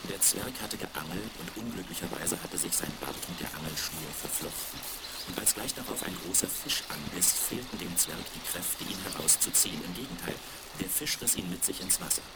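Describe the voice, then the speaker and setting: A man's voice: low voice, normal speed. A man reading a scripted fairy tale for children as the narrator of a radio play. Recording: crackly, very noisy 1970s vinyl record.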